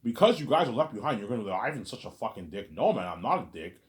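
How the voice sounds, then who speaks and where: A man talking straight to his audience in a rambling monologue, repeating himself, stumbling over restarts, and letting his asides fade quietly. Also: fairly low pitch, sing-song, fast. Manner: repetitive, stammers on restarts, trails off quietly on asides. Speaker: solo vlogger.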